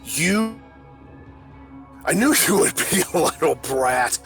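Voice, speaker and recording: A man playing a creepy online predator on a call, coaxing and halting, with a domineering, menacing manner. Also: on the high side, animated, a bit bright, soft background music, heavily acted. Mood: angry, threatening. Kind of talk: voice call conversation.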